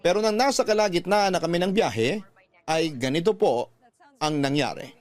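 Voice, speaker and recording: Higher-voiced man narrating over soft music. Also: moderate pace. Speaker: radio storyteller reading a listener's letter. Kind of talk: scripted dramatic narration. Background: faint music.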